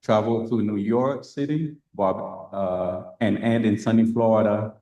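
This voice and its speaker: A man hosting an interview, reading his introduction and asking questions in a measured way. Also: fairly low pitch, dark tone, reverberant. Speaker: host.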